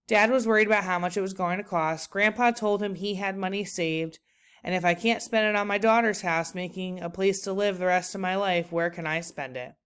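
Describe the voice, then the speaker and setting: A woman reading a children's book aloud like a teacher, in an expressive, deliberate storytelling manner. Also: medium pitch, clear, resonant tone.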